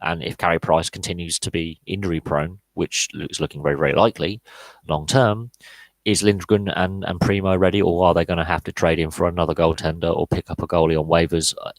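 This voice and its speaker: Deep-voiced guy in his 30s, podcast host, lots of ums and restarts, dry sound. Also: dry recording, rough recording. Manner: rambling, frequent fillers and restarts. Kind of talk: solo live podcast Q&A, casual analysis.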